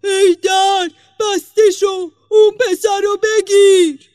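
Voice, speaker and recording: A woman voicing a grumpy, roaring demon giant in a storybook tale, with loud, drawn-out bellowing speech. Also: high voice, animated, no echo, clean studio sound, pitched above the performer's own voice. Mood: furious roaring.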